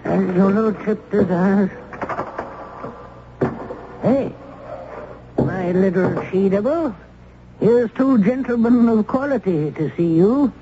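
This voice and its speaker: A crusty old jailer in his eighties for a radio drama, slow and folksy, with a very high-pitched voice. He sounds cocksure, on a muffled, noisy old recording. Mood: jeering. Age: old, playing eighty-one. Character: eighty-one-year-old male prison turnkey, cocksure and crusty.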